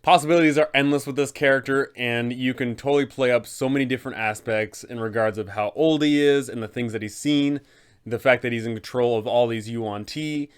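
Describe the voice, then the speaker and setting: A man with a medium-pitched voice presents advice for tabletop game masters. He is casual but enthusiastic, leaning on key words as he explains. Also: a little fast, smooth.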